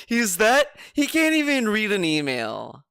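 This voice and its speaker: A man's voice: sits high, huge pitch swings, takes it slowly, fairly bright tone, smooth, dry recording, clean studio sound. A man giving mocking, sarcastic commentary while reacting to a clip.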